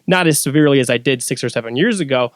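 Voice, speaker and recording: A man's voice: medium pitch, rapid-fire, dry recording, clean studio sound. A man speaking as a podcast guest, casually telling a personal story.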